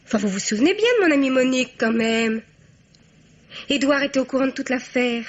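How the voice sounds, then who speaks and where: A young woman blackmailer in a radio crime play. Her voice is fairly high, chatty and full of sly, mock-innocent taunts. The sound is dull and band-limited. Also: a little fast. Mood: taunting, insinuating. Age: young adult. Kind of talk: French radio crime drama acting.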